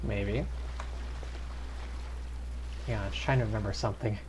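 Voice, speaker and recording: A man's voice: on the low side, fairly even intonation, a little slow, a little mellow, a little hiss or hum, poor audio. A man streaming a video game with casual, off-the-cuff commentary. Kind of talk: casual livestream commentary and reading game dialogue aloud.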